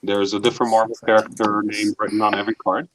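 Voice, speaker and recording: A man's voice: on the low side, little pitch movement, quick pace, slight background noise. A man hosting a casual live stream, introducing guests and joking with them. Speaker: stream host. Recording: dull lo-fi audio.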